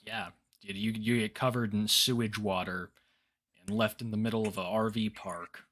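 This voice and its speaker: Blunt podcast dude, swears a lot, dark jokes, bright edgy voice. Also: male voice, lowish voice, steady tone, moderate pace. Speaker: podcast co-host. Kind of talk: casual chat.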